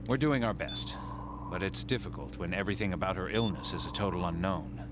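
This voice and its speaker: A man playing a serious, professional hospital doctor in a video game, speaking in a measured, formal way. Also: sits low, expressive, noisy background. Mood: grave reassurance.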